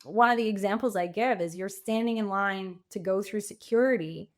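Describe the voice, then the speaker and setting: A woman's voice: mid-range voice, normal speed. A woman speaking as a podcast guest, telling anecdotes in a casual, informal way and voicing quotes. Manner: informal, illustrates points with anecdotes and acted-out quotes. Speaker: podcast guest, author and coach. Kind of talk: casual conversational storytelling.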